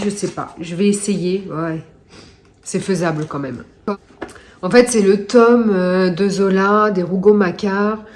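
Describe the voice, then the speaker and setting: A woman's voice: mid-range voice, darkish tone. A woman with a stuffy nose from a cold, chatting casually with many hesitations in a reading vlog. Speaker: book vlogger.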